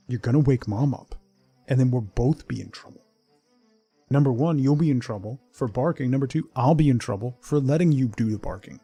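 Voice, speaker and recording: A middle-aged man with a creaky, relaxed voice talks casually as a game streamer. His delivery rambles along with a joking edge, recorded close and dry over faint music. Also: medium pitch, no room sound. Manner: rambling, joking, mock-scolding. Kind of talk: casual livestream chat. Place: indoors at home.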